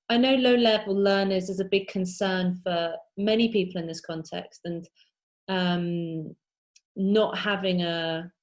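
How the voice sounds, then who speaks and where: A woman with a mid-range voice runs the question session of an online teaching webinar. She talks in a friendly, loose way, filling gaps with ums as she reads out questions. She sounds like she is on a video call mic. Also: mid-range voice. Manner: friendly, loosely paced with fillers. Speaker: webinar moderator. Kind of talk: moderating an online Q&A.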